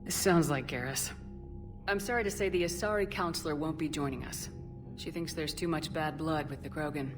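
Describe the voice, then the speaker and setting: A determined starship commander in a sci-fi game, voiced by a woman. Her voice is very low, controlled and direct, with music clearly behind it. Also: a little fast, lightly acted. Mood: sympathetic, sober. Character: steady, determined human female starship commander. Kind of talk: scripted game dialogue.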